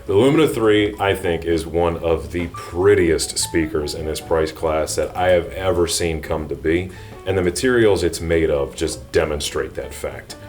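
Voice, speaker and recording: A man's voice: deep voice, moderate pace, a little mellow, soft background music. A man presenting a scripted product review to viewers, explaining details with enthusiasm. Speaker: product reviewer and channel host.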